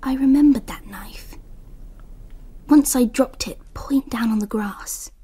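A reflective female narrator in a radio drama, remembering a girlhood summer. Her voice is fairly high, soft and mellow, with a hushed, breathy grain. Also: slow.

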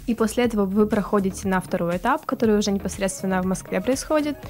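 A young woman answering interview questions in a studio in a calm, plain way. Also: mid-range voice, fast talker, soft background music. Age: young adult. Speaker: student guest. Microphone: desk microphone.

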